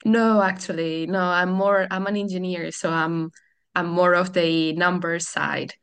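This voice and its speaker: A Catalan woman from Barcelona on a video call, hosting a software tutorial webinar and explaining things in a friendly way with frequent ums and restarts. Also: lowish voice, steady tone, unhurried, clear, resonant tone. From Catalonia, Spain. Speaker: customer support webinar host.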